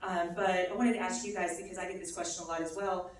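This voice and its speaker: A woman moderates a panel, asking her question in halting, pause-filled phrases with a lowish voice. She sounds distant from the mic, and a heavy hall echo surrounds her words. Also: level tone. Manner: halting, many ums and uhs. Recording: echoey hall, mic far off.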